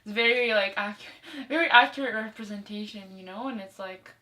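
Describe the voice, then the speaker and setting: Woman chatting informally, medium pitch. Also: brisk, sharp tone. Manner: informal, tentative, often ends on check-in tags like "right?". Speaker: co-host or guest discussing a movie. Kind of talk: casual conversational chat.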